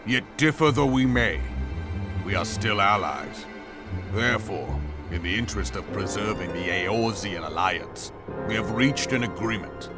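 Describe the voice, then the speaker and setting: An actor playing a stern, seasoned male general who speaks gravely in formal, old-fashioned phrasing, in a game cutscene. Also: fairly low pitch, animated, unhurried. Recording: music score underneath.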